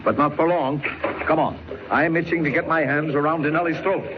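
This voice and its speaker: A man's voice: on the high side, fairly even intonation, brisk, lightly acted. An actor playing a brusque, headstrong male newspaper editor in 1940s radio style, barking and insistent. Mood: blustery, determined. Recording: muffled, hissy old radio recording.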